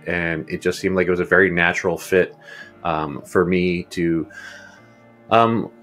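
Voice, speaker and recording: A man's voice: low voice, steady tone, measured pace, a bit bright, soft background music. A man in his fifties, a guest futurist, answering at length with many ums and restarts over a video call from a home office. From Uruguay. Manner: rambling, many fillers and restarts. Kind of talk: casual interview answers. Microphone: earbuds on video call.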